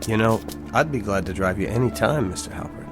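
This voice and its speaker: An actor playing a scheming working-class garage attendant in a television drama, talking colloquially and coaxingly. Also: male voice, fairly low pitch, a little fast, music in the background, lightly acted. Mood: deferential.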